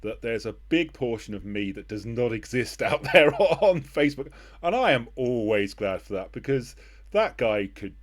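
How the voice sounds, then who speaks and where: A man's voice: mid-range voice, lively intonation, moderate pace. A middle-aged man hosting a casual interview, speaking in a wry, hesitant way with the odd sigh. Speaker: interview host.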